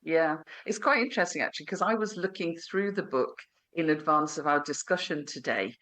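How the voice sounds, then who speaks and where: A woman's voice: sits low, animated, a little fast, fairly bright tone. A woman hosting a podcast interview, asking her guests about their book with encouraging remarks. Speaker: podcast host.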